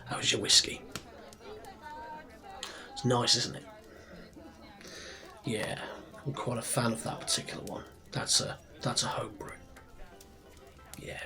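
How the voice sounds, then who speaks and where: A man playing a friendly, proud tavern barkeep in a fantasy ASMR roleplay, speaking softly and breathily, often near a whisper, in an unhurried, rambling way. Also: mid-range voice, little pitch movement, a crowd in the background. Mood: gentle, chatty, proud. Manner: unhurried, chatty, rambling with pauses. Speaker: roleplay performer. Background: soft music and tavern ambience.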